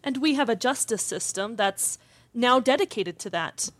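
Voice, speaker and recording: A woman's voice: on the high side, animated, moderate pace, dry recording. A woman acting as an inquisitive, down-to-earth broadcast interviewer in a fiction podcast. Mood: curious, engaged. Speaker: talk show interviewer. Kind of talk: conversational scripted interview acting.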